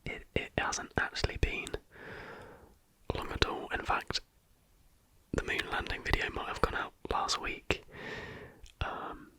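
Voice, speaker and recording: This is an ASMR host speaking in a constant breathy whisper. The sound is bright and sibilant, with no real voicing. The delivery is soft, unhurried explanatory narration.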